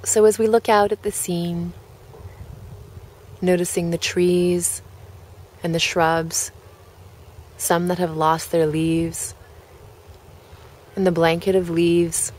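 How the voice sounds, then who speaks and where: A woman leading a guided relaxation, speaking gently in measured phrases with pauses between them. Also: lowish voice, level tone. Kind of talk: guided relaxation, meditation narration.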